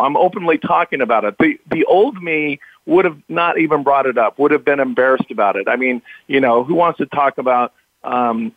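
A man with a slightly raspy, hoarse voice, speaking as an interview guest in an earnest, open way with halting bursts. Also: a little high, dry recording. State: recovering from illness, slightly hoarse. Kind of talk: remote interview. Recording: tinny phone-line audio, dry.

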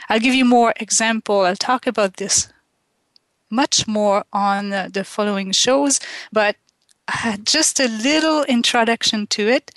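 A woman from Quebec with a Quebec French accent, French her first language, hosting a call-in radio show in a halting way, with many ums and restarts. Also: fairly high pitch, fairly bright tone, no echo. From Quebec, Canada. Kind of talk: slow, hesitant call-in radio talk.

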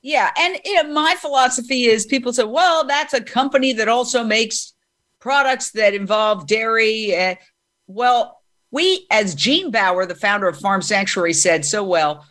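Lady host, pretty high voice, excited and opinionated, asking guests stuff. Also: unhurried. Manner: enthusiastic, opinionated. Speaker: interview host. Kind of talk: interview conversation.

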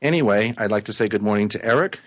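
A man hosting a live talk radio show, his voice hoarse and raspy, reading news items and chatting with a guest. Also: fairly low pitch, fast. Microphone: studio microphone.

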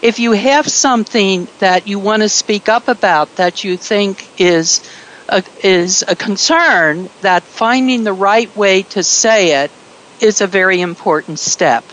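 A middle-aged woman in a reflective conversation, talking thoughtfully with frequent pauses and fillers. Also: mid-range voice, fairly bright tone, poor audio. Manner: slow, hesitant, with many fillers.

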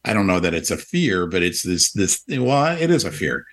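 A man in his sixties speaks in a fairly low voice, thinking aloud as he goes. He often restarts his sentences and leans on fillers while hosting a relaxed radio conversation. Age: 60s. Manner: reflective, halting, many restarts and fillers. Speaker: radio show host. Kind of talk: casual conversation.

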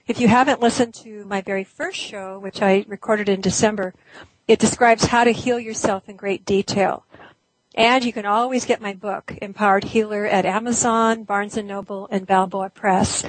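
A woman hosting her own healing talk show, slightly hoarse today, speaking in an earnest, chatty way with small restarts. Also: mid-range voice, no echo, rough recording. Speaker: radio show host. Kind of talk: casual solo talk show.

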